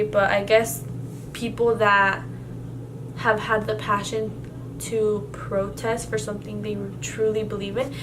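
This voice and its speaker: Young woman, native Spanish speaker, casual vlog chat, filler-heavy, noisy home recording. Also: mid-range voice. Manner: rambling, filler-heavy, lots of "like" and restarts. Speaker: vlogger. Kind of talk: casual Q&A tag video.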